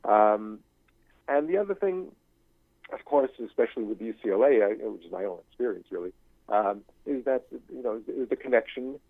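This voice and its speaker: An older man speaks as an interview guest through a narrow, phone-like line with no room sound. His pitch sits in the middle of the male range. He talks haltingly, searching for words and looping back over phrases as he explains. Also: expressive, dry recording. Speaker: guest, screenwriting professor and writer. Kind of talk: unscripted interview talk.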